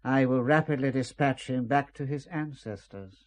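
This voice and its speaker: An actor playing a suave, ruthless British intelligence chief in a spy audio drama, speaking with urbane authority. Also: male voice, mid-range voice, normal speed, close to an everyday voice.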